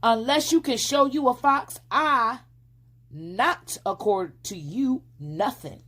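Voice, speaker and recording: A woman's voice: on the high side, slow, a bit bright, pitched above the performer's own voice. A woman voicing a sassy, fearless little country girl in a storybook, matter-of-fact, speaking folksy rural dialect. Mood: sassy, defiant.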